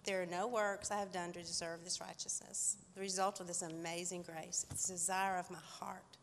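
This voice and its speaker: A woman giving a humble church testimony and reading scripture aloud, quiet with fillers. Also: on the low side, level tone, moderate pace, slight background noise. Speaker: church member sharing a reflection. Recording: echoey hall.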